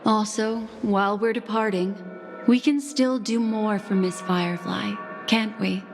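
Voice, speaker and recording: A woman voicing a composed, courteous and mysterious guardian in a video game, speaking formally and with gentle deliberation. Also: mid-range voice, steady tone, a little slow, lightly acted. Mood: calm, advising. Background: background music.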